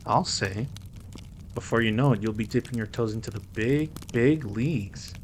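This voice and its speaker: A man's voice: medium pitch, moderate pace, a little hiss or hum, close to an everyday voice. A man playing a protective, easygoing mafia boss with a wry streak in an audio roleplay. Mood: friendly, teasing.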